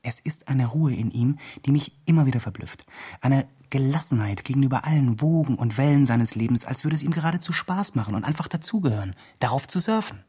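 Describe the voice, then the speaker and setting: An actor playing a well-meaning, self-justifying helper who gives careful, reflective reports in a radio play monologue. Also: male voice, mid-range voice, a little fast, mellow, slightly rough, close to an everyday voice. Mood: admiring, reflective.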